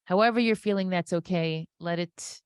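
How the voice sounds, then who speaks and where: A woman's voice: lowish voice, normal speed, clear, resonant tone, dry recording. Earnest woman giving inspirational talk, dry close sound. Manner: earnest, emphatic, repeats phrases for emphasis. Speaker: interview guest, inspirational speaker. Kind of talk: impromptu religious inspirational talk.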